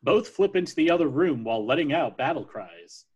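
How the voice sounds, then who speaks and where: Husky man reading stage directions. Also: fairly high pitch, animated, fairly quick, darkish tone. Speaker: narrator.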